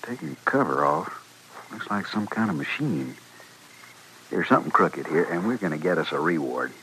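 A man's voice: low-pitched, animated, normal speed, fairly bright tone. An actor playing a scheming, reward-hungry small-town man in a radio western, with a rural American accent and a furtive, eager, folksy manner. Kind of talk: 1950s radio western acting. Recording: noisy vintage radio recording.

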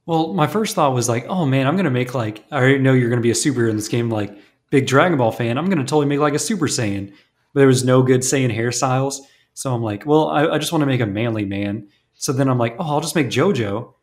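A man co-hosting a podcast in casual chat, telling stories in a relaxed way with many fillers. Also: mid-range voice, quick pace. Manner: relaxed, filler-heavy storytelling. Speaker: podcast co-host.

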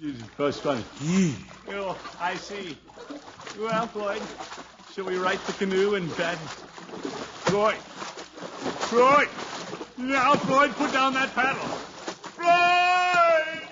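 An actor playing a flustered, sheepish, excitable middle-aged man in a 1950s radio comedy. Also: sits high, slow pace, long pauses. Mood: disappointed, then alarmed. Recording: muffled, noisy old radio recording.

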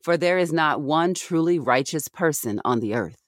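A woman reads scripture with a solemn, deliberate delivery. Each phrase is set out in a measured way for an audio Bible podcast, and the sound is very dry, with little room around it. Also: low voice, lively intonation, no echo.

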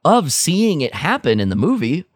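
A man co-hosting a podcast in casual fan discussion, halting but emphatic, with "like" sprinkled through his talk. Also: on the high side, wildly swooping pitch, slightly rough, dry recording, clean studio sound. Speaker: podcast co-host.

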